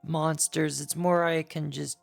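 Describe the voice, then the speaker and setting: Very creaky, hesitant man in roleplay chat. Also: on the high side, level tone, measured pace, soft background music. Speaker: roleplay participant playing a summoner. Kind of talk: casual in-character chat.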